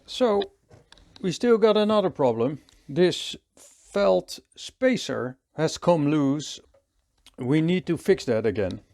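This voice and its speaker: A man with a Dutch accent, calmly thinking aloud as he works through a hands-on repair tutorial. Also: a little high, takes it slowly, creaky. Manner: calm, thinking aloud while working. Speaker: repair technician.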